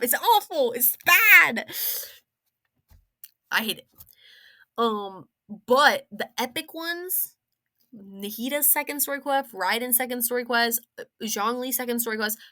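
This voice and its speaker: Young female game reviewer, higher register, sharp bright tone, casual and sarcastic, slangy, animated delivery. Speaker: video game story reviewer. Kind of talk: casual rambling review commentary.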